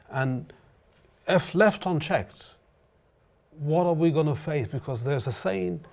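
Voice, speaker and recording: A man from Birmingham speaks with a Birmingham accent as a guest on a talk show. He talks in an impassioned way, stumbling over restarts and hesitations. His voice sits at a middling pitch. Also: darkish tone. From England. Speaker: guest commentator. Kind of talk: earnest talk-show discussion.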